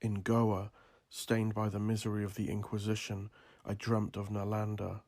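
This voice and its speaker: Male narrator for dark literary memoir: grave, portentous, gravelly and strikingly monotone, recorded close and dry. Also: fairly low pitch, normal speed, no room sound. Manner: solemn, portentous storytelling. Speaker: author narrating own memoir. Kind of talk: scripted dramatic narration.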